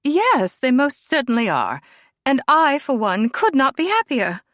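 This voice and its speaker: A woman's voice: high-pitched, very animated, measured pace, fairly bright tone, no room sound, clean studio sound, voice pushed up, exaggerated voice. A woman voicing a flamboyant aristocratic mother, grand and over-the-top. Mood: gushing.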